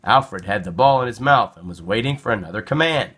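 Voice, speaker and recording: A man narrating a children's storybook in an expressive read-aloud style. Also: lowish voice, brisk, breathy edge.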